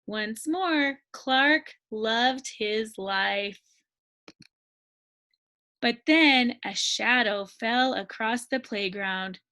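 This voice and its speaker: Slow, high-voiced woman reading a storybook. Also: bright, forceful tone. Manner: deliberate, emphatic phrasing. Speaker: storybook reader. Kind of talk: expressive children's story narration.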